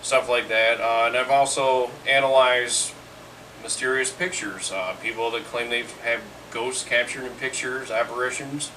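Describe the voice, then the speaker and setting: A man's voice: mid-range voice, steady tone, moderate pace, sharp tone. A man, answering plainly and matter-of-factly. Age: 30s. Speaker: tech guy. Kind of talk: casual interview answers. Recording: distant mic with faint noise.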